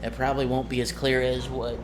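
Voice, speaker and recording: A man's voice: mid-range voice, little pitch movement, brisk. A man talking casually and informally about his tabletop roleplaying game as game master, rambling and correcting himself as he goes. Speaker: amateur game master, presenter of the video. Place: indoors at a desk with monitor and cameras. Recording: noisy home recording with room ambience.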